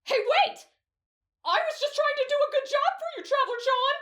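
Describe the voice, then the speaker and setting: A woman voicing an excitable, whiny teenage boy who chases girls. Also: normal speed. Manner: shrill outbursts. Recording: roomy echo.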